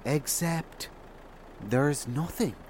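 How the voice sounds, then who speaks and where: A knowing wanderer in an audio drama, talking at a slow, drawn-out pace. His pitch moves widely with sardonic, shrewd remarks. A car engine hums faintly behind him. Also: expressive, darkish tone, a little hiss or hum.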